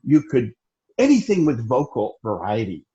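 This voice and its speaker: A man leads a group warm-up over a video call, his voice rising and falling in a lively way. He coaxes the others to take part. The call audio sounds dull and lo-fi. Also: medium pitch, moderate pace. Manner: lively, coaxing others to join in. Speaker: meeting activity leader.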